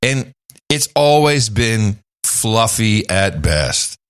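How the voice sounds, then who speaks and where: A man hosting a podcast in casual chat, rambling and swinging his pitch up and down as he talks. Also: very animated, drawn-out words, clean tone, no room sound, clean studio sound. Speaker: podcast host.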